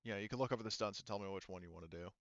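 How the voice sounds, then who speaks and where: A man's voice: lowish voice, rapid-fire, dry recording, lo-fi sound. A man acting as game master, refereeing a tabletop game casually and thinking aloud as he goes.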